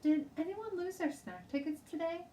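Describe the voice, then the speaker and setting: A woman's voice: very high voice, normal speed, dark tone, voice pushed up. A woman voicing a stern, suspicious female schoolteacher in a storybook, questioning and commanding her pupils. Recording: roomy, distant mic, faint noise.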